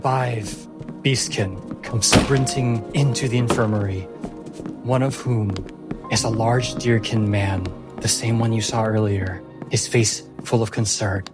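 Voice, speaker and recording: A man working as game master, telling a dramatic fantasy scene in measured phrases. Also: on the low side, fairly even intonation. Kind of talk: dramatic fantasy tabletop narration. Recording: music behind the voice.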